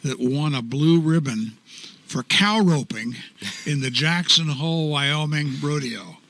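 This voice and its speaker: A man's voice: on the high side, a little slow, gravelly, fairly bright tone, no room sound. An older man, a choral director, giving a genial radio studio interview and telling stories with a chuckle. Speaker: choral society artistic director, guest.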